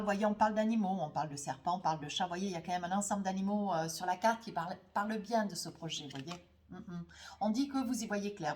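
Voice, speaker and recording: Woman with a cold, rambling tarot reading. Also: medium pitch. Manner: rambling, hesitant, full of "hein" and "voyez" tags. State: has a cold, runny nose. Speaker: tarot card reader. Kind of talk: spoken tarot reading to viewers.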